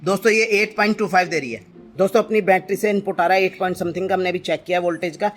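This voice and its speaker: A man's voice: high-pitched, fast talker. A middle-aged Indian man talking casually and thinking aloud as he hosts a hands-on family DIY vlog, coaxing as he goes. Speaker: father hosting a family DIY electronics vlog. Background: music.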